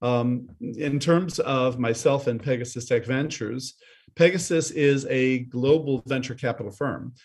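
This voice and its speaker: Brief: male panelist on a video call, smooth business pitch, a few restarts. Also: measured pace, darkish tone. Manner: fluent business pitch with some repeated starts. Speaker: venture capital partner, panelist.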